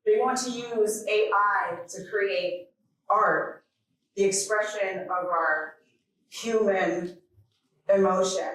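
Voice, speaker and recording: In a very reverberant hall, picked up from a distance, a woman from Jamaica gives a keynote address. She speaks slowly and conversationally, reflecting as she goes and leaving deliberate pauses. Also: mid-range voice, fairly bright tone. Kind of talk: informal keynote speech. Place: large echoing conference hall. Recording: very echoey hall, distant microphone.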